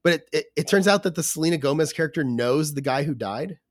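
A man with a somewhat high voice made scratchy by a cold talks in loose, rambling podcast chat, full of fillers and restarts. The sound is dry and close, with no room around it. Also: lively intonation, fast talker, no room sound. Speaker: podcast co-host. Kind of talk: casual chat.

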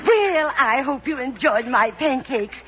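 A woman's voice: high-pitched, expressive, measured pace, soft background music. An actress playing a dotty, cheerful, motherly landlady in a 1940s radio sitcom, chirpy and earnest. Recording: muffled, hissy 1940s radio broadcast.

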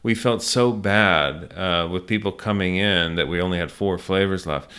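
A man's voice: low voice, normal speed, a bit bright, clean tone. A middle-aged American man telling a story conversationally in an interview, earnest and reflective, circling back over phrases for emphasis. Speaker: small business owner being interviewed.

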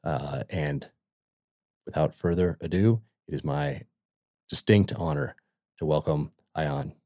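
A man with a very low voice hosts a podcast and speaks in a dry, close-up sound. He reads his introduction earnestly. When he asks questions he stumbles and restarts, filling the gaps with fillers. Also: takes it slowly, darkish tone, dry recording. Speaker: podcast host.

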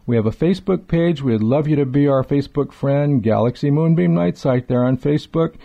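A man co-hosting a radio show in a conversational, effusive, wordy way, with a mellow voice. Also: fairly high pitch, a little fast, creaky, dull audio.

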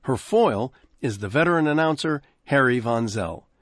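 A man introducing an archival radio broadcast in a measured, informative way. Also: mid-range voice, lively intonation, dry recording, studio quality. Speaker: host of a classic radio program.

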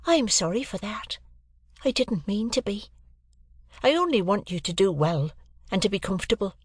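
A gentle, quiet young woman from a village, put on by a male reader. She speaks softly and with a sorrowful mildness, her voice raised well above the reader's own, in a dry and close storybook recording. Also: sits high, lively intonation, moderate pace, husky, no room sound, pitched above the performer's own voice. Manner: soft, mild and sorrowful.

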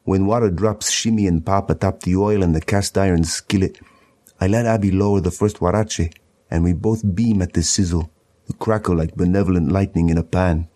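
A man's voice: deep voice, fairly even intonation, moderate pace, mellow. A man reading a narrative poem in a literary recital style. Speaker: poetry reader.

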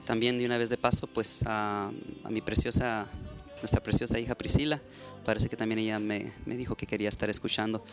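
A man's voice: fairly low pitch, level tone, brisk, faint music behind. A middle-aged man with a Mexican accent, a guest musician speaking in an earnest, heartfelt way on a live radio interview. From Mexico, Baja California.

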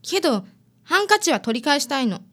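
Woman as a high-voiced, stubborn young client. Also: sing-song, a little fast, fairly bright tone. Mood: bitter. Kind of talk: radio drama acting.

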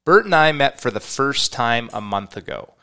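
Bright, clear-voiced podcast host man. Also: mid-range voice, sing-song, normal speed. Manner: upbeat, enthusiastic, slightly rambling. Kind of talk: podcast intro and interview.

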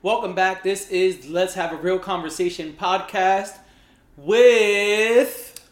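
A man's voice: high voice, slow pace, roomy sound, over music. A man co-hosting a podcast in casual chat, full of joking, teasing banter. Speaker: podcast co-host.

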